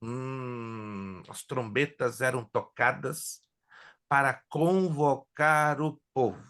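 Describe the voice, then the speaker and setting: A man teaching a theology class as a pastor, whose first languages are Hebrew and Spanish, lecturing in an animated way and joking with his students. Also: medium pitch, slow. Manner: animated, jokes with students, asks if they follow.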